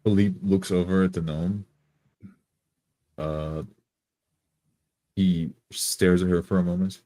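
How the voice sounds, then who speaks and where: Very slow, low-voiced man roleplaying. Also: steady tone, warm tone, no room sound. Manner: halting, deliberate, repeats words. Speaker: tabletop game player. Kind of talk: in-character tabletop roleplay.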